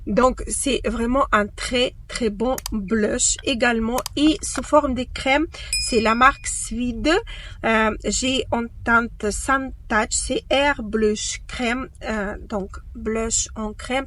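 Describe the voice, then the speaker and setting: Slow, hesitant woman reviewing makeup. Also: on the high side, fairly even intonation, a bit bright, slight background noise. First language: not French. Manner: hesitant, many fillers and restarts; enthusiastic superlatives. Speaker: beauty vlogger. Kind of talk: casual makeup review to camera. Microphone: own microphone, at times off.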